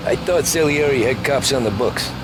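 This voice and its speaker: An actor playing a wary, plainspoken newcomer to the mob, hesitant and questioning, in a cinematic game. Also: male voice, mid-range voice, moderate pace, close to an everyday voice. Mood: wary, curious. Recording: clear street and car noise.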